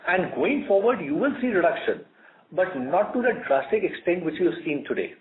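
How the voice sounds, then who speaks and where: Indian male executive, somewhat high voice, measured emphatic answers in short phrases, thin conference-line sound. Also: big pitch swings. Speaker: company executive answering analysts. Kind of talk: earnings call answers. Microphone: conference call line. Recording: muffled narrowband conference call audio.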